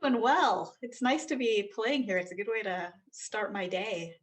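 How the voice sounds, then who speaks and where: A woman's voice: medium pitch, a little slow. A woman author chats about her work on a video call. She sounds friendly and eager, with a mid-range voice. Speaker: author and player. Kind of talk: casual chat on a video call.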